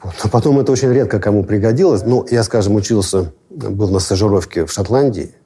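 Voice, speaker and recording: A man telling a wry story about studying languages in a relaxed chat. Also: fairly low pitch, quick pace, slightly rough. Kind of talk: casual storytelling.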